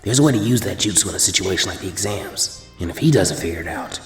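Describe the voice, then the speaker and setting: A man's voice: sits low, lively intonation, fast, faint music behind, pitched below the performer's own voice. A man voicing a lazy, grumbling teenage boy strategist who mutters wearily. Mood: weary. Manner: weary, muttering. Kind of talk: fanfic audiobook reading.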